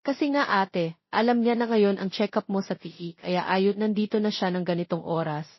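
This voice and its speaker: A woman playing a husky, teasing little sister. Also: mid-range voice, normal speed, dry recording, lightly acted. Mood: chatty. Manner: chatty, teasing. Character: playful, teasing younger sisters of the heroine. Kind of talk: acted dialogue in an audio romance story. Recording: dull lo-fi, close dry sound.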